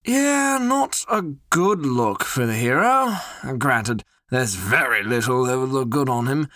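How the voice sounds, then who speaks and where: An actor playing a cheeky, teasing heckler who banters mockingly in a theatrical audio drama. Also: male voice, medium pitch, big pitch swings, takes it slowly, a bit bright, no echo, studio quality. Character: cheeky, teasing tavern-loving heckler of a storyteller.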